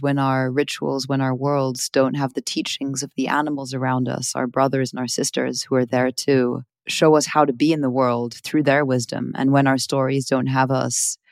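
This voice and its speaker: A woman's voice: extremely low pitch, creaky, darkish tone, no echo, studio quality. A woman hosting an interview, speaking in earnest, searching, long winding sentences. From Sweden or Switzerland. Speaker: host, interviewer. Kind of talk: reflective interview talk.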